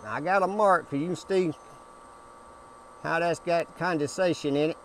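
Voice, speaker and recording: Folksy older man, high voice. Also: moderate pace, slight background noise. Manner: folksy, down-home, repeats himself. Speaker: homestead vlogger. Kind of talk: casual how-to talk to camera. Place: outdoors by a backyard pool. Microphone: camera a few feet away.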